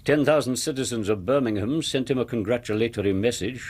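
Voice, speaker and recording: A man's voice: medium pitch, fast talker, darkish tone, slight background noise, lightly acted. An actor playing a middle-aged, courteous and reserved English businessman in a radio drama, speaking formally. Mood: guarded. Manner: formal, guarded, polite. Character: reserved, courteous English factory-owner brother, quietly principled.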